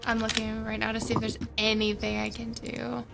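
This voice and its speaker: A woman's voice: fairly high pitch, completely flat delivery, fairly quick. A woman playing a tabletop game, chatting casually and thinking aloud. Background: clearly audible background music.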